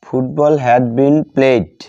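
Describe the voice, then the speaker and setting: Man, grammar tutor with a Bengali accent. Mellow tone, extremely unhurried delivery, repeats phrases as if dictating. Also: medium pitch, steady tone, vocal fry, no echo. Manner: deliberate, dictating word by word with repetition. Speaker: English grammar teacher. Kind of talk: instructional lesson, bilingual grammar explanation. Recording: dull lo-fi sound.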